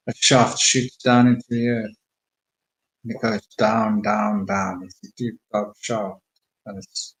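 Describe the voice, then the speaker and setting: A man slowly talking listeners through a guided meditation with calm, murmured instructions. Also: medium pitch, poor audio. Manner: slow, soothing, murmured instructions.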